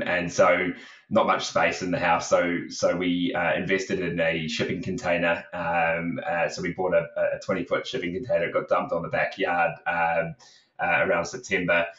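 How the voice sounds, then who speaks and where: Man, very low voice, rambling with fillers, echoey room, far from the mic, muffled call audio. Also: a bit bright. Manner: rambling, many fillers and restarts. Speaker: recruitment company co-founder, guest. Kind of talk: casual podcast interview talk. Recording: roomy, distant, dull video call audio.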